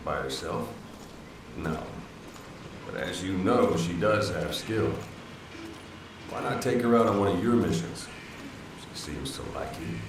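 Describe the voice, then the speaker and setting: An actor playing a pragmatic military officer in a video game, speaking in a measured, matter-of-fact way with halting pauses. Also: male voice, low-pitched, animated, distant mic. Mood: measured, persuasive. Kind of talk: video game cutscene acting. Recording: echoey, noisy room, off the mic.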